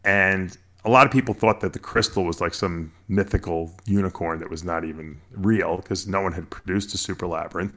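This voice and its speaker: A man's voice: low-pitched, steady tone, brisk, hardly any pauses, bright, forceful tone. A middle-aged American man talking casually as an interview guest, explaining things in an emphatic, talkative way with repeated phrases. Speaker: podcast guest, bodybuilder and snake breeder.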